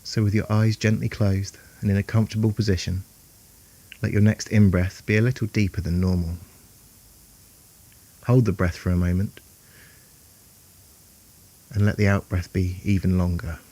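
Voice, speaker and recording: Deep, mellow male meditation guide. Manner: calm, soothing, unhurried delivery with long pauses.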